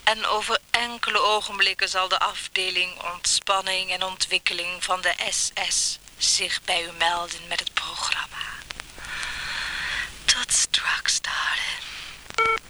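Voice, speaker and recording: A seductive motel phone hostess acted by a woman murmurs in a breathy half-whisper. She announces slowly and sultrily, like a recorded message in a radio play, over clearly audible noise and clicks. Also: medium pitch, close to an everyday voice.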